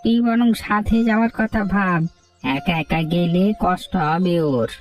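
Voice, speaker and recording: A woman's voice: fairly low pitch, lively intonation, a little slow. A woman playing practical, chatty village market folk in a Bengali cartoon story. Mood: matter-of-fact. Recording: slightly distant, music behind.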